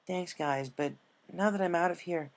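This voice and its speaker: A man's voice: sits high, normal speed, pitched above the performer's own voice. A man voicing a proud, stubborn young female pegasus in a story reading. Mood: subdued.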